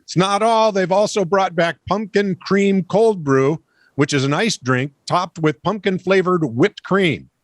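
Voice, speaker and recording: A man's voice: high voice, unhurried, no room sound. A man co-hosting a comedy news podcast, playful and mock-dramatic as he reads the news. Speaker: co-host.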